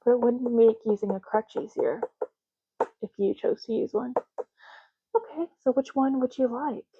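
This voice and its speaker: A soft-spoken woman doing an ASMR roleplay as a clerk, explaining gently and unhurriedly in short, broken phrases. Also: on the high side, normal speed, breathy edge, no echo, lo-fi sound. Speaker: ASMR creator playing an ID card clerk.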